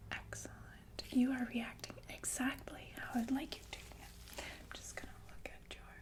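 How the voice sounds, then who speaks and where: Whispering sinister killer nurse played by a woman. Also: high-pitched. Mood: clinical, approving. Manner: unhurried, hesitant, softly matter-of-fact about death. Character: calm, sinister killer nurse, polite and chillingly chatty. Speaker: ASMR performer. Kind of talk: whispered ASMR roleplay monologue.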